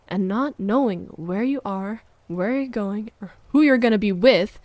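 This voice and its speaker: A woman playing a hurt, needy, accusing girlfriend in an intimate audio roleplay, moving between sharp reproach and hushed murmurs. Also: mid-range voice, dry recording, close to an everyday voice. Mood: resigned, hurt. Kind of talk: intimate audio roleplay monologue, dramatic acting.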